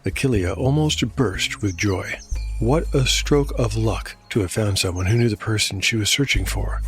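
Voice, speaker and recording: Man, lowish voice, podcast narrator and host, scripted delivery, faint music behind. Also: a bit bright.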